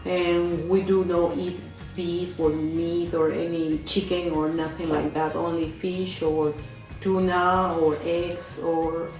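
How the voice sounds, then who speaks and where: Woman from peru, low voice, very echoey room, distant, faint music, eager chatty guest. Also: flat delivery, takes it slowly, darkish tone. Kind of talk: casual chat.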